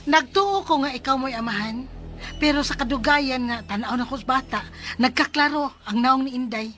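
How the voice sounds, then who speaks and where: A brusque young Cebuano mother in a radio drama. Her voice is high and sharply bright, and she brushes off her partner with blunt, dismissive delivery. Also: normal speed, faint music behind. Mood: cold, defiant. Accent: Cebuano Filipino.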